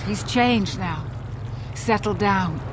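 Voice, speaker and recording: An actress playing an older, anguished but loyal betrayed wife in a video game, pleading and halting. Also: female voice, medium pitch, background noise, lightly acted. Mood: pleading, sorrowful.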